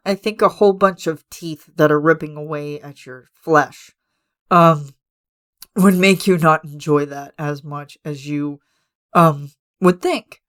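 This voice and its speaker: A man hosting a podcast from his room at home, hoarse and raspy as he loses his voice, congested and coughing, rambling with lots of fillers and restarts. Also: on the high side, a bit bright. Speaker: podcast host. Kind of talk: casual solo podcast chat.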